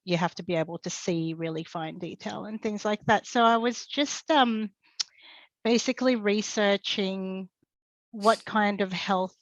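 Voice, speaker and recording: A woman's voice: lowish voice, no echo. A woman who runs a small business, talking about her own story in a chatty way with lots of fillers. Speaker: panelist, small business owner. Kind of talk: casual personal account. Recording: dry, dull lo-fi audio.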